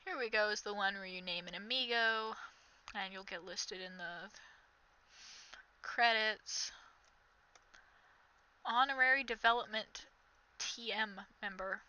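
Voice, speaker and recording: A woman's voice: medium pitch, unhurried, pauses often, bright, forceful tone, clear, resonant tone. A young woman doing sarcastic reaction commentary, reading text aloud and mocking it with exasperation, in a somewhat nasal voice. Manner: mocking, exasperated, reads aloud then jabs. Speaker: commentary vlogger.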